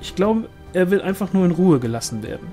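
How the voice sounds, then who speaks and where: A man's voice: high-pitched, sing-song, brisk, mellow, faint music behind, voice pushed up. Man voicing a curt, defensive village woman. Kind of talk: audiobook character dialogue.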